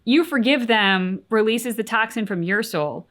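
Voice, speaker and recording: A woman's voice: a little high, animated, a little slow, fairly bright tone. A woman co-hosting a reaction channel, talking casually and reflectively in an earnest, articulate, emphatic way.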